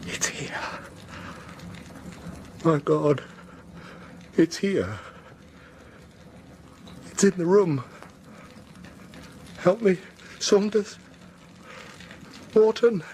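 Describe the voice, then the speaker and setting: A man's voice: high voice, expressive, very slow, pauses often, darkish tone, full character voice. An actor playing a terrified, self-pitying British man in a radio horror drama, stammering and pleading breathlessly. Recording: noisy vintage radio drama broadcast.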